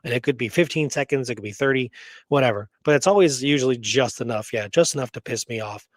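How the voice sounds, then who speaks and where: A man's voice: medium pitch, fast, no room sound, studio quality. A middle-aged American man chatting casually and emphatically about his opinions, in colloquial language with the odd swear word. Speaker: podcast co-host, history researcher and author. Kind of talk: casual opinionated history chat.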